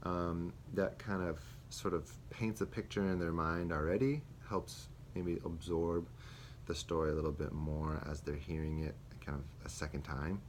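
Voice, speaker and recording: Deep-voiced young man, hesitant reflection. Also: fairly even intonation, normal speed. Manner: hesitant, many ums and restarts. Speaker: student teacher. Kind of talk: spoken self-reflection. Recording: faint background noise.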